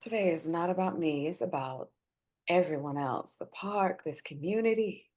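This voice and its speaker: A woman's voice: lowish voice, moderate pace, darkish tone, natural voice. A woman playing a guarded, burdened wife and determined community organizer in a screenplay reading. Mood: reserved. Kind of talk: live staged screenplay reading.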